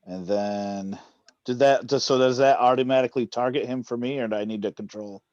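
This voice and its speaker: A man's voice: mid-range voice, a little fast, darkish tone, no room sound. A man chatting casually as a player in an online tabletop roleplaying game. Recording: muffled online call audio.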